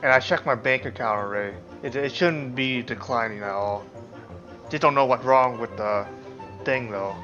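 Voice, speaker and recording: Casting: man as casual game livestreamer, medium pitch, offhand commentary, muffled lo-fi microphone, faint music. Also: normal speed, sharp tone.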